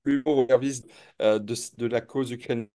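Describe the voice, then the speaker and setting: A man hosting a live public debate, speaking in a halting way and often repeating words as he moderates. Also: medium pitch, fairly even intonation, dark tone.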